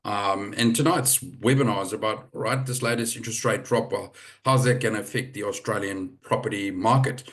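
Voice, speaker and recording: A middle-aged man from Zimbabwe hosting a live property webinar over a video call, chatty and relaxed, with many fillers and restarts. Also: lowish voice, moderate pace. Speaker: webinar host.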